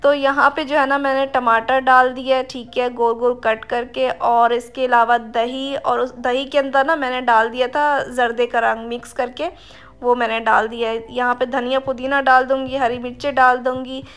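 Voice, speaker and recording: A woman's voice: sits high, little pitch movement, moderate pace, fairly bright tone, clean tone. A woman giving a chatty, step-by-step cooking voice-over, leaning on filler phrases as she explains each step. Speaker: cooking vlogger.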